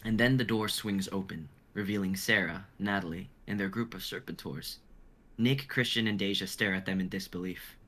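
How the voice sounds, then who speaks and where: A man's voice: sits low, normal speed, a bit bright. A young man on a group livestream, moving between casual chat and dramatic reading of a script. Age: young adult.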